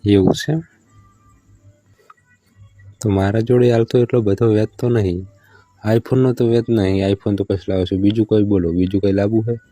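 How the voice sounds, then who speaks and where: Male actor as placating hard-up lover, Gujarati accent, very mellow dark tone, dry close sound, casual phone chat. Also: on the low side, fairly even intonation, normal speed, long pauses, no room sound, faint music behind, close to an everyday voice. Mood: pleading. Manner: placating, bargaining. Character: hard-up village in-law lover, stalling and placating. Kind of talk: staged casual phone conversation.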